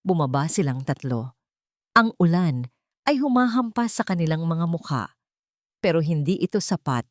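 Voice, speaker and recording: A woman with a low, even voice tells a grim story in a steady, scripted way. She is recorded close and dry, with no room sound around her. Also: no room sound. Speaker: narrator. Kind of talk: scripted dramatic narration.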